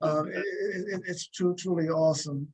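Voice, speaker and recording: A man's voice: high voice, fairly even intonation, moderate pace, breathy edge. A man hosting a casual interview over a video call, often restarting and repeating his phrases. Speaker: interviewer, show host.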